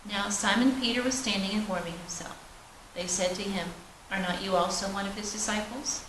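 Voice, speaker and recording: A woman's voice: mid-range voice, fairly even intonation, fairly quick, fairly bright tone. A woman reading scripture aloud in a solemn style at a church service. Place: large indoor church space. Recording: roomy, noisy, distant church recording.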